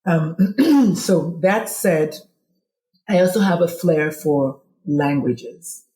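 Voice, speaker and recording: Nigerian woman with a mellow voice. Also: lowish voice, very slow, reverberant, rough recording. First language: Igbo. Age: middle-aged. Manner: animated, quoting herself in dialogue. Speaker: guest. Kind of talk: casual interview storytelling.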